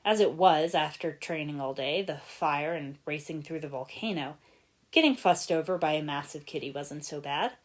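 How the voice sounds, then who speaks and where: A woman narrating a story from a script in an expressive storytelling style. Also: low-pitched, moderate pace. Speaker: fan fiction narrator.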